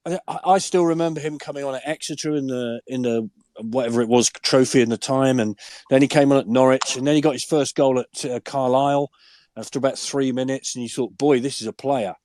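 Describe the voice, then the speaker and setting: An older man, hoarse and deep-voiced from a cold, chatting enthusiastically as a guest pundit and asking rhetorical questions. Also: fairly even intonation, moderate pace, darkish tone, a little hiss or hum. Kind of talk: casual podcast chat.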